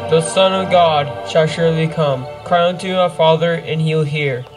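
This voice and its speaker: A man's voice: a little high, fairly even intonation, moderate pace, music in the background, natural voice. A young man playing an assured missionary prophet in an amateur outdoor pageant, reciting archaic lines solemnly into a handheld microphone. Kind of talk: amateur scripture pageant acting. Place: outdoor wooded stage.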